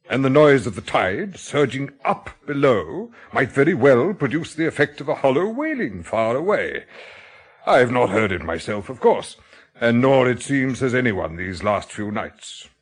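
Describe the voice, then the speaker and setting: An actor playing a learned, pompous gentleman explaining his theories in a measured, pedantic manner, in a radio drama. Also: medium pitch.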